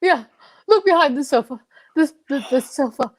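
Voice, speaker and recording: A woman's voice: sits high, animated, normal speed. A woman playing a frantic male killer, cornered and confessing, in a stammering, overwrought old-time radio melodrama style. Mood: frantic confession.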